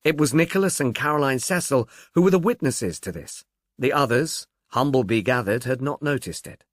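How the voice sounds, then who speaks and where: A man reading a detective novel as an audiobook narrator, in a measured, expressive storytelling manner. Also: mid-range voice, dry recording, studio quality.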